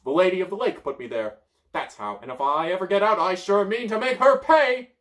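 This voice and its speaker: A man's voice: fairly high pitch, huge pitch swings, normal speed, pitched above the performer's own voice. A man voicing a grouchy, boastful old male wizard stuck in a bush, reading a storybook aloud. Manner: pompous, cranky, sneezy.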